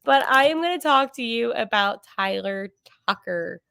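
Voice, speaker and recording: A young woman in her twenties hosting a casual sports podcast, talking in a chatty, animated way with lots of fillers and self-corrections. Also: on the high side, moderate pace, creaky, smooth, no echo. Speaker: podcast host.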